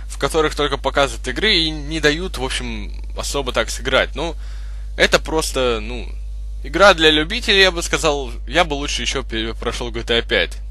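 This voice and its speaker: A young man hosting a gaming video with casual, halting, improvised gameplay commentary. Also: medium pitch, bright, forceful tone, no echo. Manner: hesitant, halting, improvised phrasing.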